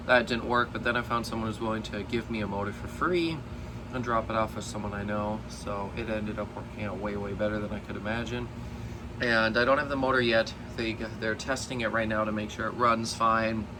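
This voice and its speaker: Rambling young man with a bright voice. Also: fairly low pitch, slight background noise. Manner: rambling, many fillers and restarts. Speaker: fan collector vlogger. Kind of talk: casual update vlog.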